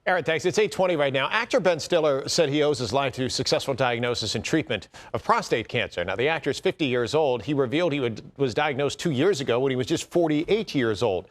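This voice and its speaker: Male, American accent, medium pitch, TV news anchor, live reading and interviewing.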